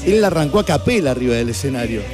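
A man's voice: mid-range voice, sing-song, very fast. A man with an Argentine accent hosting a casual show, bantering and telling stories emphatically and colloquially. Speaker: morning radio and streaming show host. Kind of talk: lively casual banter with co-hosts. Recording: music playing loudly behind. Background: music.

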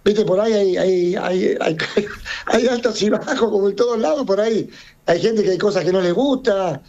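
A man's voice: high voice, normal speed, mellow. A middle-aged man from Serbia who runs a circus, talking casually in an interview and rambling with frequent restarts and repeated words. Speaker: circus owner. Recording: dull lo-fi sound.

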